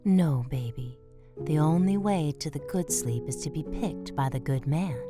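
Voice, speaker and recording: A woman's voice: sits low, lively intonation, moderate pace, over music. A woman voicing a tender, patient mother mouse in an audio drama, soothing and wistfully devout.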